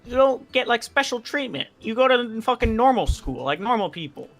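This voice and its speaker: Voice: mid-range voice, big pitch swings, normal speed, a bit bright. A young adult from America chatting casually and bluntly with friends on a game stream, often swearing. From United States. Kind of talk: casual chat, argumentative. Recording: music behind the voice.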